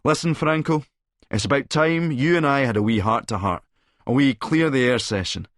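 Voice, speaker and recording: A cocky Scottish schemer, acted by a man for an audiobook. He is smooth-talking, theatrical and taunting, pitched up a little from a low register. The sound is dry and close. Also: normal speed, dry recording, natural voice. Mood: mocking.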